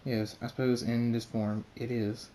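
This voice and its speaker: Guy voicing a soft-spoken pegasus demon dude, mellow and calm, super polite. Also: mid-range voice, moderate pace, a little hiss or hum, lightly acted.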